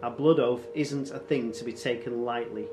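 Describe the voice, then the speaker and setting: A man's voice: mid-range voice, moderate pace, reverberant, noisy background, natural voice. A man voicing a cruel Viking warlord in a storybook, taunting and boastful with false friendliness. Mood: mocking. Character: cruel, mocking Viking warlord, swaggering villain.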